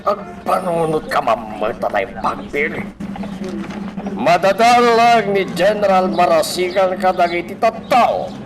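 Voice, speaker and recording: A man acting as a haughty, vengeful political boss in a Filipino radio drama, with an Ilocano accent. He talks slowly and commandingly, swinging widely in pitch from a fairly high register. There is clear noise behind him. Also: expressive. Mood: scheming, commanding.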